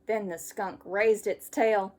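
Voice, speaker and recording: A woman reading a children's story aloud like a teacher, with expressive storytelling. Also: mid-range voice, slow.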